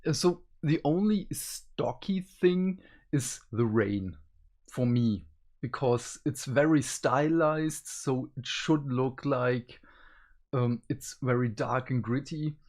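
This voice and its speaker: A man's voice: mid-range voice, slow, a little mellow. A man co-hosting a review show in casual chat, speaking hesitantly as he searches for words. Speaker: co-host of an animation review show.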